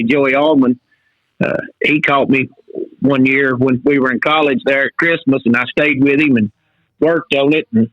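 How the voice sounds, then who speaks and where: A Texan man in his fifties, a college rodeo coach, telling stories in an easygoing drawl with many pauses. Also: medium pitch, no room sound, muffled recording. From North Texas. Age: 50s. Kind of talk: casual podcast interview.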